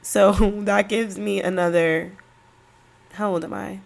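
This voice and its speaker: A woman's voice: medium pitch, steady tone, slow pace, creaky. A woman in her thirties, stuffy from a cold, chatting casually and rambling on her own podcast. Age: 30s. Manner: chatty, rambling, slangy, laughs between thoughts. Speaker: podcast host. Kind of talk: casual solo podcast chat.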